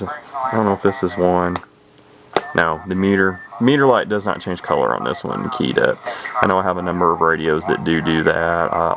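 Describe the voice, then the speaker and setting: A man casually showing off an old CB radio on video, rambling and hesitant with lots of fillers and restarts. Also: sits low. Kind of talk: casual show-and-tell video. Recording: noisy home video with radio hiss.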